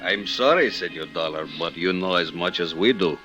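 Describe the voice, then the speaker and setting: An actor playing a courteous, formal coast guard captain with a Latin American Spanish accent, speaking halting English in a 1950s radio drama. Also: male voice, low-pitched, lo-fi sound, faint music behind. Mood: regretful. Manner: polite, measured, halting English.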